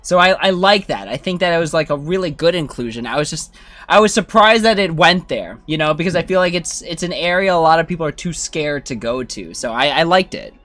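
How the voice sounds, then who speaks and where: A man's voice: a little high, lively intonation, brisk, fairly bright tone. A man giving casual, informal gameplay commentary as a streamer, in a rambling way. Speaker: game streamer.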